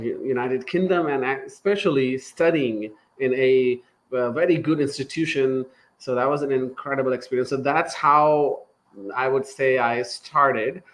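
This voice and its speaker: Earnest man from Pakistan on a call. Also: mid-range voice. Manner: earnest, thankful, talks in loose restarts. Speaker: guest, startup founder. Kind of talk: casual interview talk.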